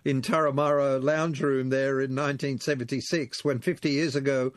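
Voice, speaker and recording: A man's voice: medium pitch, fairly even intonation, normal speed, no room sound, clean studio sound. A man hosting a community radio interview, asking long wordy questions with many fillers.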